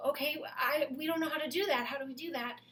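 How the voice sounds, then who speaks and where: A woman's voice: sits high, quick pace, pushed and bright, voice pushed up. A woman voicing three friendly, unsure monsters in a storybook tale, asking hesitant questions.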